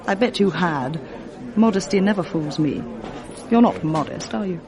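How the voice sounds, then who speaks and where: An actress playing a clever, self-assured young female Oxford student at a party, brisk and teasing in a radio drama. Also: on the low side, a crowd in the background, close to an everyday voice. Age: young adult. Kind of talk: 1980s radio drama acting.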